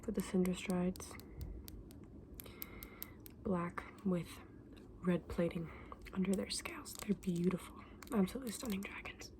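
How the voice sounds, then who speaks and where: Soft, whispery saleswoman of fantasy creatures, played by a woman. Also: on the low side, steady tone, noisy background, close to an everyday voice. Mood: informative, gentle. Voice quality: soft, breathy, often slipping into whisper. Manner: gentle, unhurried, explanatory, addressing a customer. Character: friendly, knowledgeable female dragon egg saleswoman. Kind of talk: ASMR roleplay, soft-spoken and whispered.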